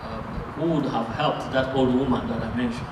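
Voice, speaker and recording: A man interpreting a sermon line by line, halting, with fillers and restarts. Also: mid-range voice, steady tone, recorded from a distance. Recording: echoey room, picked up from afar, noisy.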